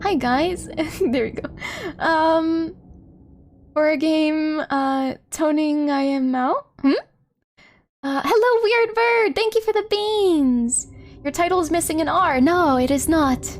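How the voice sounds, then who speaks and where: A woman hosting a live stream, chatting casually with viewers in an excitable, giggly, exclaiming way. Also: sits high, slow, slight background noise. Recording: background music behind voice. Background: music.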